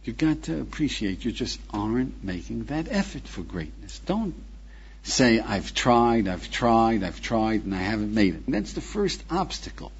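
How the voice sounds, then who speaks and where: A man's voice: lowish voice, sing-song, unhurried, slightly rough, highs cut off. A man teaching as a rabbi, lecturing emphatically with rhetorical questions and calling his listener "friend". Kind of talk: recorded lecture.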